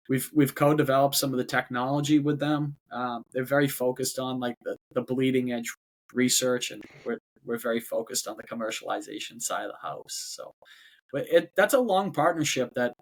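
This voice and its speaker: A man's voice: medium pitch, normal speed. A man with a Boston accent, a company head talking as a guest in a casual interview, often repeating words before going on. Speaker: robotics company CEO, guest.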